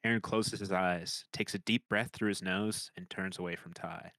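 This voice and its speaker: Male narrator for comedy screenplay read: low, forceful tone, dry recording. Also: steady tone, normal speed, no echo. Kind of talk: scripted narration of comedy stage directions.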